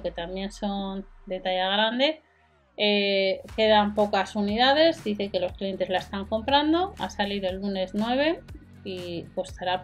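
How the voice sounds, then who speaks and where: A woman talks viewers through a shopping catalogue, chatty and direct, reeling off prices and sizes. Her voice sits at a middle pitch. Faint music plays under the voice-over. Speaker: shopping video host. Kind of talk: narrated product walkthrough. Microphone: voice-over with a desk microphone.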